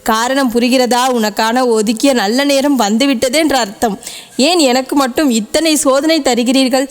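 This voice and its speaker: A woman's voice: high-pitched, lightly acted. A woman voicing a protective, ageless divine mother goddess who gives a tender, solemn devotional message to a devotee she calls her dear child. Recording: long echoing reverb.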